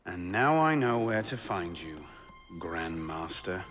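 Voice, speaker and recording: An actor playing a determined young assassin, terse and wry, in a video game cutscene. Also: male voice, sits low, big pitch swings, slow, full character voice. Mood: low, brooding. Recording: loud music behind.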